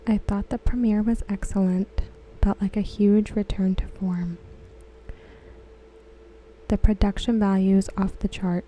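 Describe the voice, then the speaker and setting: Very mellow, softly spoken ASMR woman. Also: medium pitch, a little hiss or hum, low-quality recording. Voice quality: soft, breathy, near-whispered. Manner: gentle, hushed, measured delivery. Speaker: ASMR host. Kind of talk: softly spoken ASMR read-aloud of forum comments.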